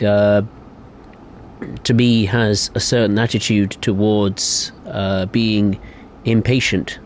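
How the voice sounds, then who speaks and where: Rambling young man, low voice. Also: darkish tone, slight background noise. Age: young adult. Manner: rambling, hesitant, opinionated. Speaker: streamer. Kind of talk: casual live-stream chat.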